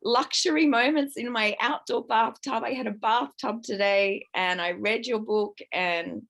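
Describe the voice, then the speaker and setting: Croaky woman, 50s, rather high voice, raw and distressed, vents with swearing. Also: a little slow, a bit bright. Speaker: book club member. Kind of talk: emotional personal sharing.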